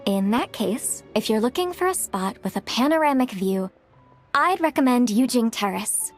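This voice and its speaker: A young townswoman in a fantasy game. Her voice is high and animated, friendly and obliging in a casual way, with faint music behind. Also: normal speed. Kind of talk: video game voice acting.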